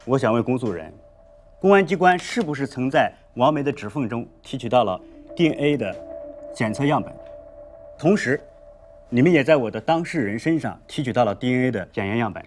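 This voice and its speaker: A man's voice: mid-range voice, animated, fairly quick, lightly acted. An actor in his thirties playing an earnest, insistent defence lawyer in a film, speaking emphatically. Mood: pointed, controlled. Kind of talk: courtroom argument in a film.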